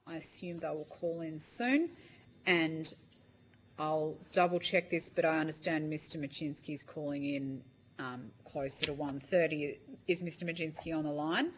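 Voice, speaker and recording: A woman's voice: sits low, unhurried, slight background noise, poor audio. A woman chairing a parliamentary committee hearing, polite and procedural.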